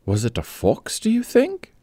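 A man's voice: high-pitched, huge pitch swings, moderate pace, dark tone, no echo, studio quality, pitched above the performer's own voice, full character voice. A man reading a story aloud, voicing a fretful, tender-hearted wife with a raised, pleading voice. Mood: anxious.

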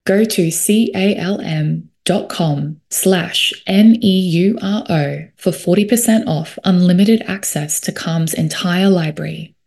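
A woman reading a scripted ad in a calm, soothing manner. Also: fairly low pitch, a little slow, creaky, few pauses. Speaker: ad reader. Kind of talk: scripted ad read.

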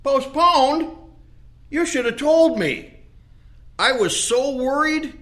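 A man's voice: extremely high pitch, slow pace, fairly bright tone, heavily acted. A man playing a confused, insistent elderly professor in an audio play, urgent and indignant.

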